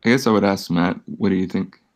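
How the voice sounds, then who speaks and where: A man giving a casual update in a team meeting, halting and understated. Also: low-pitched.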